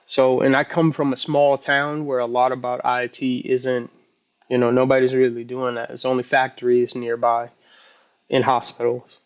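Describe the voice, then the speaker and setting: Man, early 30s, casual vlog journal, halting and rambling, little pitch movement, slightly dull lo-fi recording. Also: level tone, clear, resonant tone. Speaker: vlogger. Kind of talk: casual, personal video journal.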